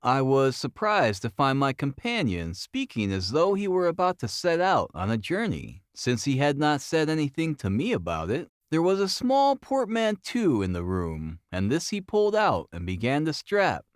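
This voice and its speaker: A man reading a classic novel as scripted narration, in a formal, expressive storytelling manner. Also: medium pitch, moderate pace, few pauses, clean tone, no room sound, studio quality. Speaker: audiobook narrator.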